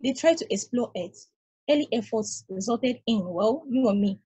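A young woman on a video call voicing a weary, philosophical female space probe in a calm, reflective monologue. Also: fairly high pitch, normal speed, slightly rough, rough recording. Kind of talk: amateur table read.

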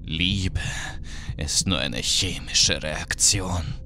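Cruel, sinister little man for a creepy audio story, put on by a woman, slow and cold, pitched down to the very bottom. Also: pushed and bright, slight background noise, full character voice. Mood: menacing. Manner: slow, cold, menacing taunts. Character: sinister small man with a smeared face, cruel. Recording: music heard clearly behind.

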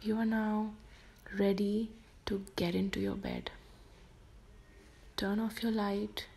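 A woman softly whispering a guided sleep meditation for children, slow and gentle. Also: medium pitch, fairly even intonation. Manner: soft whispered delivery.

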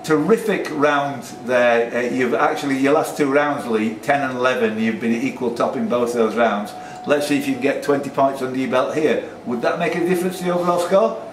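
A man works as quizmaster in a busy pub, reading questions and tossing in chatty, jokey asides. His mid-pitched voice sits away from the microphone in an echoey room, with clear background noise around him. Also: fairly quick, few pauses, far from the mic. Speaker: quiz host reading questions. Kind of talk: live pub quiz hosting, questions and banter. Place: indoors in a pub.

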